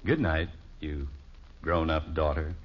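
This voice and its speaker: A man's voice: rumbling low, slow. An actor playing a fretful, affectionate middle-aged American father in a radio situation comedy. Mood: fond, sheepish. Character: fretful, affectionate suburban father, easily flustered. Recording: muffled old radio, hissy with clicks.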